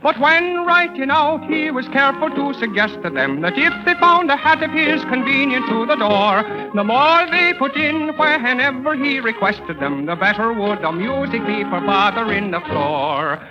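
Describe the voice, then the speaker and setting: A male radio singer performs a lively Irish comic song in a very high voice. Music plays along, and the old broadcast sounds muffled. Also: normal speed, few pauses. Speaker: show's singer.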